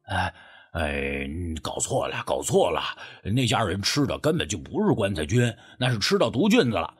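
A man's voice: fairly low pitch, sing-song, normal speed, husky, faint music behind, full character voice. A man playing a sly, sheepish rural man in an audiobook, stammering and wheedling as he angles for money. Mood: wheedling, insistent. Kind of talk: acted audiobook dialogue.

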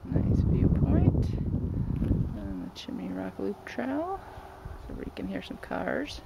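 A man casually narrating his hike outdoors, with relaxed, offhand comments on the scenery. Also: sits high, slow pace, creaky, muffled recording. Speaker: travel vlogger. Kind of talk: casual narration while hiking. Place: outdoors on desert trails and in an orchard. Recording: noisy outdoor camera audio.

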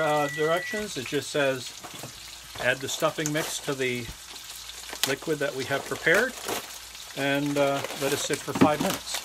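A man in his sixties giving a casual, halting cooking demonstration as the host in a small kitchen. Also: fairly high pitch. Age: 60s. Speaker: home cook and cooking show host. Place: small trailer kitchen, indoors. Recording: noisy kitchen, frying sizzle behind voice.

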